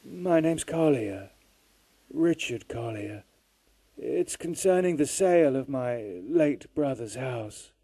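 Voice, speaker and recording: A man voicing a quiet, formal, grieving middle-aged caller in an audiobook, speaking softly and politely. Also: on the high side, fairly even intonation, a little slow, hardly any pauses, dry recording, pitched above the performer's own voice. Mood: subdued. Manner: subdued, formal, soft-spoken. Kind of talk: audiobook character voice.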